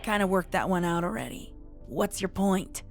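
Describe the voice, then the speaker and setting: Woman playing a creaky-voiced, sardonic dead pony. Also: fairly low pitch, normal speed, soft background music, lightly acted. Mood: annoyed. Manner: sarcastic, blunt. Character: sardonic young dead female pony, blunt, fed up. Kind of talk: fan audio drama dialogue.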